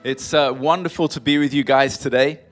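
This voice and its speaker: A man, a missionary guest speaker, preaching at a church service in short earnest phrases and pausing after each one for his interpreter. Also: medium pitch, steady tone, over loud music.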